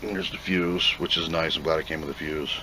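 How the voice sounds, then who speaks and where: A man's voice: extremely low pitch, steady tone, brisk, bright, forceful tone, slight background noise. A plainspoken man giving an off-the-cuff, casual commentary as he reviews and unboxes a product.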